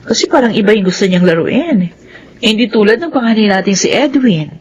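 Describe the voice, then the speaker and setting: A woman playing a gentle, doting mother in a radio drama, affectionate and encouraging. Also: medium pitch, lively intonation, brisk, slightly rough, dull audio.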